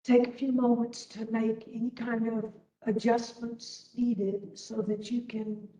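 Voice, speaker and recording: A woman leading a guided meditation as instructor, gently and unhurriedly, leaving long pauses between phrases. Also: a little high, little pitch movement. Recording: roomy, distant webinar audio.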